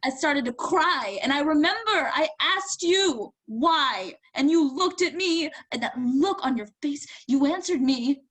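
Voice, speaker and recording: A woman's voice: high-pitched, animated, measured pace, few pauses, fairly bright tone. An actress in a staged drama reading, playing an anguished, defiant young woman trying to escape her abusive brother, pained and earnest. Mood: pained, confessional. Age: young adult.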